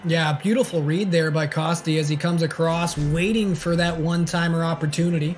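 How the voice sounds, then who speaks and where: A man's voice: fairly high pitch, steady tone, brisk. Easygoing male colour commentator. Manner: easygoing, agreeable, fluent analysis. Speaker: esports co-commentator.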